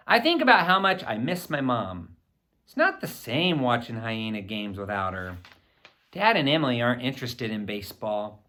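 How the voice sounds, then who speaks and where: A man reading a children's story aloud in an expressive, boyish first-person narration. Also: a little high. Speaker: audiobook reader.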